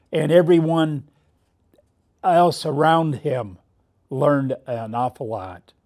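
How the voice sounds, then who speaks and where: A man hosts a memorial tribute at a very slow pace. His voice sits a little high for a man. The phrasing is measured and halting, broken into short pieces. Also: darkish tone. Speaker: host of a poetry tribute.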